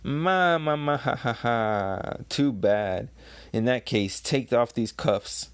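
A man's voice: lowish voice, takes it slowly, no room sound. A man voicing a huge, gluttonous old pirate woman, domineering and cackling, as he reads a comic aloud. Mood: mocking. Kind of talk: comic book read aloud in character.

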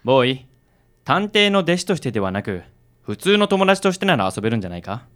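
Suave, expressive gentleman played by a man. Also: mid-range voice, fast. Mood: gentle, reassuring. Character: suave, encouraging young man, gentlemanly friend of the detective. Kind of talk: radio drama acting.

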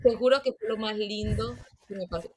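A woman from Colombia, a podcast guest telling stories in a lively way and mixing Spanish and English. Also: medium pitch, fast, slightly rough. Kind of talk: casual interview chat.

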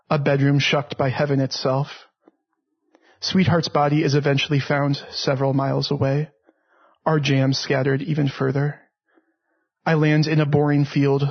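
Male poet for a literary reading, rough-edged voice, even and restrained melody, slightly muffled audio. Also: fairly high pitch. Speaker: poet reading own work. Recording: dull, slightly lo-fi recording.

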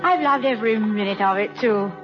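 An actress playing a warm, affectionate, gently teasing stage actress in love, in a radio drama. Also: female voice, mid-range voice, brisk, natural voice. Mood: tender. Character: warm, playful English stage actress, the sweetheart. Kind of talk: 1950s radio drama acting. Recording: dull old radio broadcast, music behind.

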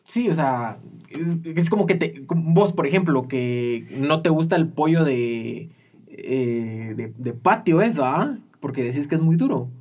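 A young man in his twenties with a Guatemalan accent hosts an informal podcast conversation. His voice sits fairly high for a man and moves around a lot in pitch. His way of talking is casual and jokey. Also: expressive, unhurried, a little mellow. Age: 20s. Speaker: podcast host. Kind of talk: casual chat.

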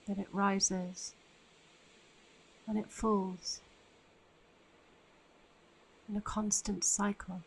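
Slow, even-toned woman guiding meditation. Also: mid-range voice, vocal fry, a little hiss or hum, low-quality recording. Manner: soothing, unhurried with long pauses. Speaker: meditation guide. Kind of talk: guided meditation narration.

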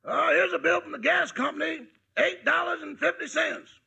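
A household valet in a vintage radio comedy. The voice is strikingly high, heavily gravelled and sharply bright, coming from an old 1950s broadcast. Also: male voice, moderate pace, heavily acted. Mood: matter-of-fact. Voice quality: gravelly, raspy.

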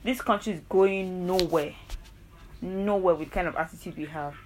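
Earnest Nigerian young woman, lower voice. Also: normal speed, darkish tone, slight background noise, rough recording. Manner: rambling, self-correcting, earnest and persuasive. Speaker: podcast host. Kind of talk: casual solo monologue.

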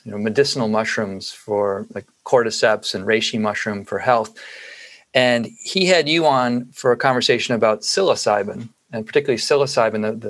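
A man's voice: mid-range voice, a bit bright. A man hosting a podcast interview, asking long questions full of restarts and fillers. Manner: rambling, many restarts and fillers. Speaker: podcast host. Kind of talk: conversational interview.

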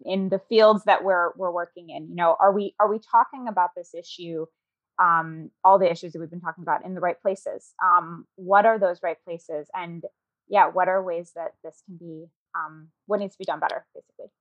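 A woman's voice: fairly low pitch, fairly even intonation, moderate pace, no room sound. A woman podcast interviewer asking questions conversationally and tentatively, rephrasing as she goes, on slightly lo-fi audio.

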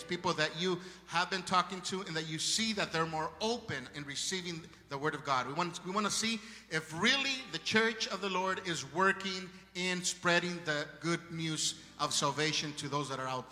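A man's voice: a little high, normal speed, hardly any pauses, in a large hall. A man with a strong Spanish accent preaching a sermon to a congregation, calling out praises and exhortations with fervour. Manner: fervent, shouting praises to the congregation. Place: church hall.

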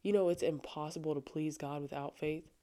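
A young adult woman with a low voice talking earnestly and casually on her faith podcast, with frequent fillers. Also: fairly even intonation, fairly quick, mellow. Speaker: podcast host. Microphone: studio microphone.

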